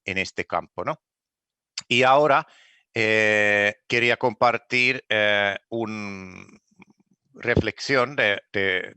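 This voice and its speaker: A man from Finland gives a keynote talk in a language he learned later. His voice sits a little low but sounds bright, and he pauses on fillers and restarts phrases as he goes. The sound is close and dry. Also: no room sound. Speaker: keynote speaker. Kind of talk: conference presentation.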